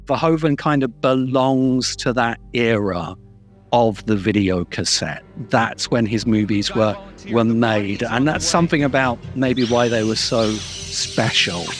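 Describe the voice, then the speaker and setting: A man's voice: mid-range voice, a little slow. A man hosting a podcast, talking casually about films. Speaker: podcast host. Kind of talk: casual film discussion. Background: faint background music.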